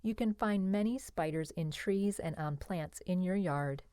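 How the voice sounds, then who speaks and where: A woman narrates an educational children's book in a fairly low voice. Her read-aloud phrasing is simple and clear, and the sound is very dry, with no room around it. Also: a little slow, dark tone, no room sound.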